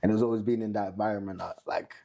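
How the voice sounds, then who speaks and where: A young British man with a Manchester lilt talks fast in a low, mellow voice. He is relaxed and chatty, and he sprinkles "bro" and "you know what I mean" through his answers. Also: gravelly, a little hiss or hum. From United Kingdom. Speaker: musician guest. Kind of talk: casual chat.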